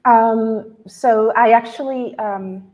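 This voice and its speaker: A woman's voice: on the high side, steady tone, takes it slowly, dull audio. A woman in her thirties from Colombia, a developer answering interview questions in a friendly way with small laughs and some hesitation, on a video call with a headset. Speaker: guest developer. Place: home room, video call.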